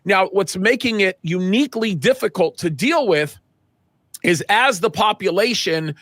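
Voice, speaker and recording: A man's voice: high voice, lively intonation, measured pace, fairly bright tone, no room sound. A middle-aged man, a pastor, answering interview questions earnestly, often restarting and building emphasis. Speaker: guest pastor.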